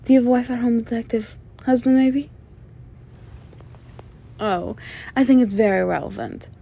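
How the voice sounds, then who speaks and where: A woman playing a flirtatious, menacing female mob boss in an ASMR roleplay, sly and self-assured, with a soft, teasing, sultry delivery. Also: on the high side, gravelly, breathy edge. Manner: teasing, sultry, drawn-out delivery with veiled threats. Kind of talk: scripted ASMR roleplay monologue addressed to listener.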